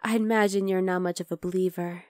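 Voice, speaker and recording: A woman's voice: fairly low pitch, steady tone, fast, no echo, studio quality, natural voice. A woman playing an obsessive, chatty vampire lab worker in an ASMR roleplay, coaxing and teasing the listener. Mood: teasing, curious. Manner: conversational, teasing, coaxing, with soft asides.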